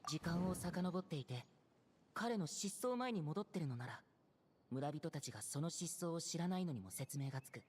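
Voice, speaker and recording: An actor playing a calm, thoughtful young adventurer in game dialogue, reasoning things through. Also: male voice, on the high side, fast, slightly rough. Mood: pondering. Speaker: game character.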